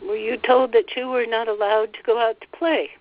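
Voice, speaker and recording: A woman leading a guided meditation, relaying a guide's words in a gentle, soothing way and often repeating phrases. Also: fairly high pitch, fairly even intonation, moderate pace, dry recording, narrow, tinny audio. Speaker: spiritual teacher leading a meditation.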